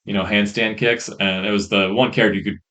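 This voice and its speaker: A man co-hosting a podcast, chatting casually in a rambling, hesitant way, on a rough-sounding faulty microphone. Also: fairly low pitch, level tone, fast, fairly bright tone. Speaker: podcast co-host. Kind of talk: casual chat.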